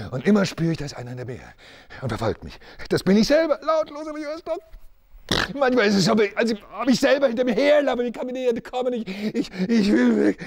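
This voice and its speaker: A man's voice: high-pitched, gravelly, heavily acted. A man performing a tormented, hunted man who stammers, pleads and cries out in a dramatic stage monologue.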